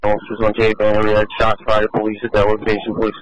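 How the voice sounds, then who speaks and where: A man's voice: lowish voice, monotone, fast, highs cut off, background noise. A man, a police officer, giving urgent clipped reports over the radio.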